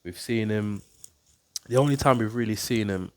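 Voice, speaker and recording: A man's voice: on the low side, moderate pace, darkish tone. A man with a blocked-up nose from a cold, giving a casual boxing breakdown to camera as a coach and YouTuber, thinking aloud with ums and restarts. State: congested with a cold.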